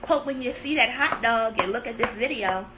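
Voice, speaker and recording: A woman's voice: a little high, moderate pace, background noise. A woman in her thirties chatting on a live stream, playful and sarcastic, teasing her viewers with theatrical complaints. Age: 30s. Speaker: livestream host.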